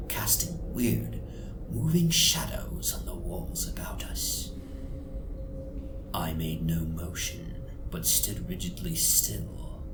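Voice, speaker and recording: A man narrates a horror tale in a theatrical, suspenseful manner. He takes his time with each phrase, often sinking into breathy whispers. His voice rings in a reverberant space, with music underneath. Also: fairly low pitch. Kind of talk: dramatic horror story reading. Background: music soundscape.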